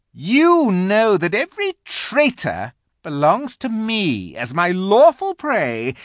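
A performer voicing a cruel, haughty witch queen in a storybook, shrill and declamatory with a snarling edge. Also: fairly bright tone, no room sound, clean studio sound.

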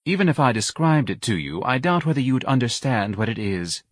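A man's voice: medium pitch, big pitch swings, fairly quick, dry recording, clean studio sound, voice pushed up. A man voicing a haughty, snappish young man in a bar. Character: snippy, elegant young man.

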